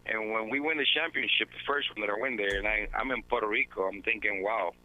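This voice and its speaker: A man's voice: on the low side, quick pace. A man on a tinny phone line. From Dominican Republic. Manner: earnest, reflective storytelling. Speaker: baseball manager guest. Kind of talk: phone interview. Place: at home.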